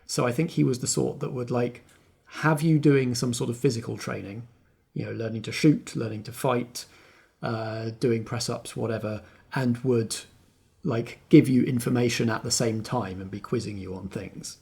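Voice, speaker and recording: A man with a gravelly, husky voice leads a tabletop roleplaying game. He improvises narration and puts questions to a player. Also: mid-range voice, steady tone, normal speed, darkish tone. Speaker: game master.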